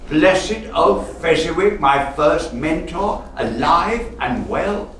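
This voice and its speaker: A man acting in a radio play as a fearful old curmudgeon of a businessman, halting and theatrical, full of exclamations. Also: a little high, lively intonation, a bit bright. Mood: nostalgic wonder. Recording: echoey, distant stage recording, faint noise.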